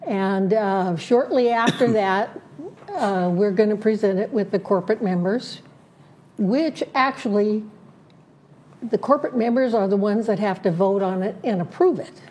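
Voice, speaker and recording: A woman serving as a community board director, laying out her plans in an interview, listing points slowly with many fillers and restarts. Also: medium pitch, darkish tone. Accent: Southern Californian. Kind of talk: prepared statement in an interview.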